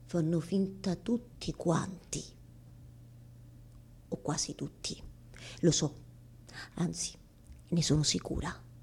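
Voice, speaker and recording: An actress playing an anguished, embarrassed woman who confronts God in a theatrical radio monologue, halting and repeating her words insistently, blunt and exasperated. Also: fairly low pitch, steady tone, darkish tone, slightly rough, heavily acted. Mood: uneasy, confessional.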